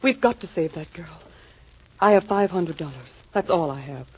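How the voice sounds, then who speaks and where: An actress playing a desperate wife, pleading earnestly and melodramatically in 1940s radio style. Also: female voice, on the low side, animated, normal speed, husky. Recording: dull old radio recording.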